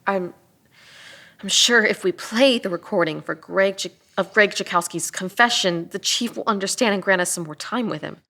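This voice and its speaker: A woman plays a police detective in an audio drama read-through. She is earnest and empathetic. Her speech is measured, with a pleading, hesitant edge, at a middling pitch. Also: creaky, pushed and bright. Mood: urging.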